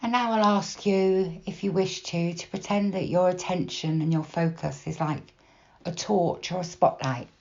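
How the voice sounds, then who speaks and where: A woman guiding a meditation in a slow, soft, unhurried manner with long pauses between phrases. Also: lowish voice, fairly even intonation, vocal fry, highs cut off. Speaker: meditation guide. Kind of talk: guided body scan meditation, gentle instruction.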